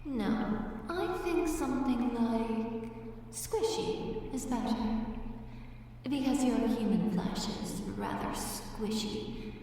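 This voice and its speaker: A woman's voice: a little high, takes it slowly, warm tone, a little hiss or hum. A woman playing a predatory, possessive dungeon boss monster in an ASMR roleplay, speaking in teasing, condescending, formal old-fashioned diction with a cave echo effect. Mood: possessive fondness. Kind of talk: ASMR audio roleplay, theatrical archaic speech. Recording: echoing cave reverb, distant, faint music.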